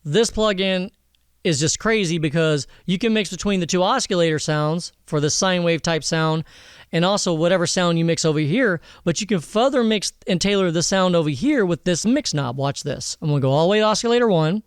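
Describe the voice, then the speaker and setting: A man hosting a casual software tutorial in an informal, slangy way, swearing now and then. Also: high-pitched, a little fast, clear, resonant tone, no room sound, studio quality. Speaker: tutorial host.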